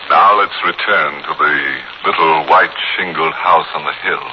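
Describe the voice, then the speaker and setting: Casting: droll horror host of 1940s radio mystery; deep, teasing narration, muffled sound. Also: male voice, measured pace, a little hiss or hum. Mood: wryly sinister. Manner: wry, punning, mock-sinister. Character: droll, macabre male horror-show host. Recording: muffled 1940s radio recording under music.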